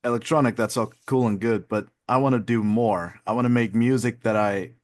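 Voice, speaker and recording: A man in his twenties, a guest musician on a video call, talking in a relaxed, rambling way with many fillers and easy laughs. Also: medium pitch, fairly quick. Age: 20s. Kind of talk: casual interview chat.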